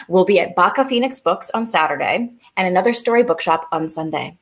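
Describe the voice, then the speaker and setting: A woman's voice: lowish voice, animated, brisk, a bit bright. A young woman hosting an online festival, reading scripted announcements in an upbeat way from a video call at home. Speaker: festival host. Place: living room at home.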